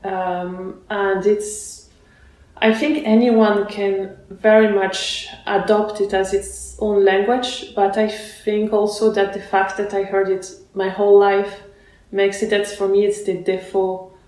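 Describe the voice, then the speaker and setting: A woman born in Russia, a guest musician being interviewed, speaking haltingly with many fillers and restarts. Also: medium pitch, little pitch movement, darkish tone. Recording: roomy echoey room.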